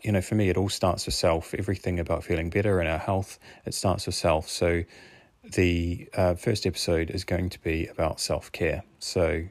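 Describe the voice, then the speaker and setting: A man's voice: low voice, little pitch movement, normal speed, no echo. A man with a New Zealand accent hosting his own podcast, musing earnestly and unscripted, thinking aloud with hesitations. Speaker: podcast host, fitness coach.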